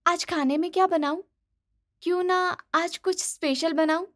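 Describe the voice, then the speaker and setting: Woman doing eager young bahu in a cartoon story, high voice, expressive, coaxing, kinda pleading. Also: normal speed. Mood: excited, proud. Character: eager young daughter-in-law, cheerful, pleading, easily hurt. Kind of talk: animated moral story dialogue.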